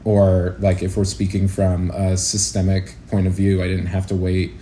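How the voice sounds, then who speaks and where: Male podcast guest, low and mellow, reflective, informal delivery, faint background noise. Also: completely flat delivery, moderate pace. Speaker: interview guest, actor-producer and educator. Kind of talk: casual podcast interview.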